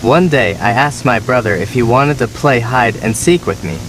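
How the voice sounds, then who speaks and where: A man playing a neglected, resentful younger son, telling his story as measured, plaintive scripted horror narration. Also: medium pitch, noisy background, lightly acted. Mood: aggrieved.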